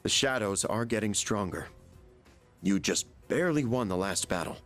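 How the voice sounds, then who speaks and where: A man's voice: fairly low pitch, animated, moderate pace, fairly bright tone, faint music behind. A man voicing a genial middle-aged chairman in a video game, politely and somewhat showily explaining things. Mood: explanatory, serious.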